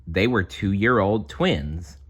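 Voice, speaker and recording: A man narrates a children's storybook with expressive phrasing and simple asides for young listeners. His voice is fairly low, slow-paced and lively in intonation. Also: slightly rough. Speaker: storybook reader. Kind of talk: children's read-aloud with casual asides.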